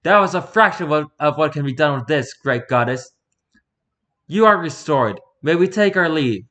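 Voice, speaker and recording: A man's voice: on the high side, animated, moderate pace, bright, forceful tone. A man voicing another man who speaks to a goddess in a formal, courtly way. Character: dreadlocked man addressing a goddess like royalty.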